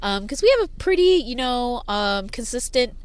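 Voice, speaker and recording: A woman's voice: on the high side, animated, moderate pace, clean tone. A woman who grew up with sign language as her first language, chatting as a band's singer-songwriter in a parked car, rambling with many fillers and laughs. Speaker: band singer-songwriter, guest. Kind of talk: casual interview chat.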